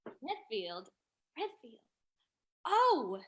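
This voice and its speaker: Actress as teasing society woman, fairly high voice, wry, old-time radio mystery. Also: huge pitch swings, very slow, exaggerated voice. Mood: surprised.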